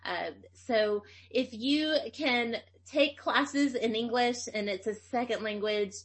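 A woman from Tennessee, who grew up speaking Greek, giving a slow, emphatic lecture on public speaking. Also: fairly high pitch. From Tennessee, USA. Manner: slow, deliberate, emphatic phrasing.

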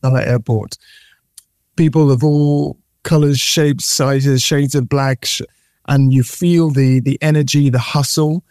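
A man's voice: mid-range voice, a little slow, dry recording, clean studio sound. A middle-aged man, born in Nigeria and raised in the UK, talking as a guest in a casual interview, full of repeats and restarts. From born in Nigeria, raised from age eight in the UK. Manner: animated storyteller with repeated words and restarts.

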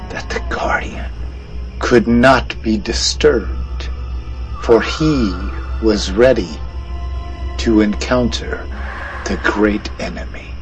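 A man tells a storybook adventure at an unhurried pace, leaning into dramatic pauses and swells. His mid-range voice sits over a clearly audible music bed. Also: mid-range voice, poor audio. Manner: slow, dramatic storytelling cadence. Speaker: narrator.

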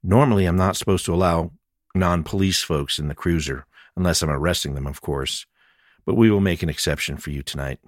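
A man's voice: sits low, fairly quick, no room sound, natural voice. Guy reading a no-nonsense lady cop in a mystery audiobook, dry humor, kind of caring. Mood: wry. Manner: matter-of-fact, dryly humorous. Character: tough, wry, caring female police detective.

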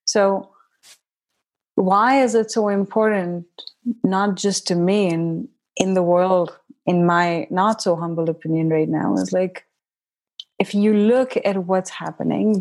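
A woman's voice: medium pitch, a little mellow. A woman from India, a guest on a podcast, talking casually and reflectively with lots of "like" and easy laughter. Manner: reflective and unhurried, full of "like", laughs easily. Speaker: podcast guest, healing facilitator.

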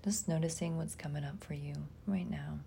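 Female meditation guide, soft and mellow, low register, breathy phrase endings, faint rumble behind. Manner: soft, soothing, often trailing into breathiness, with long pauses.

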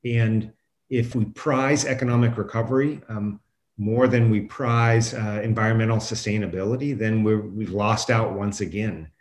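A man's voice: fairly low pitch, fairly even intonation, moderate pace, slight background noise. A man speaking as an expert guest in an unscripted interview, explaining his points with emphasis. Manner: explanatory, emphatic, quotes imagined objectors. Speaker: guest professor and climate scientist. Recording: roomy, echoing sound.

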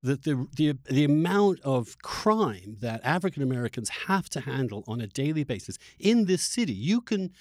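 A man with a lively, swooping delivery and a mid-pitched voice talks politics as a podcast host. He is emphatic and opinionated, halting and repeating phrases as he goes. The sound is dry. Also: no room sound. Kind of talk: opinionated political interview discussion.

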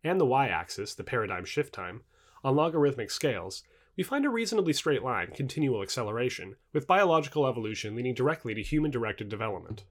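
A man reading scripted nonfiction as an audiobook narrator, with formal, measured enunciation. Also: mid-range voice, big pitch swings.

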